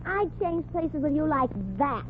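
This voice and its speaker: A woman's voice: high voice, measured pace, a little hiss or hum. An actress playing a dreamy young woman who talks in folksy slang, in a 1940s radio comedy. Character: wistful young woman, the lead's lookalike friend. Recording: tinny old radio broadcast.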